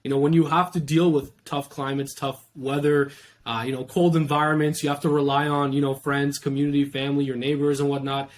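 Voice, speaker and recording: A young man from Iran, a podcast guest, talking in a steady, reflective way. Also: fairly high pitch, fairly quick, slight background noise. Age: young adult. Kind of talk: casual interview talk.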